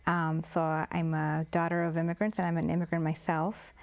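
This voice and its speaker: A woman's voice: sits low, flat delivery, a little fast, gravelly, a little mellow. A woman from Mexico, a guest on a public-access TV interview, talking in a thoughtful, hesitant way with many fillers and restarts.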